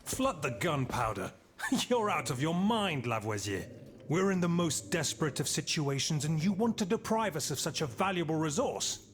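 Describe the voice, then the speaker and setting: A haughty patriot nobleman in a game cutscene. His highish, very animated voice is theatrical and scornful. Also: moderate pace. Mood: incredulous, indignant. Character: haughty, hot-headed male marquis, a bellicose patriot. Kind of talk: video game cutscene acting.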